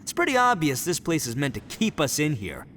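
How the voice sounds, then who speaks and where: A man's voice: a little high, huge pitch swings, fairly quick, a bit bright, soft background music. A man playing a boisterous, good-hearted young oni gang boss in a video game, brash, casual and frank. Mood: defensive, frank.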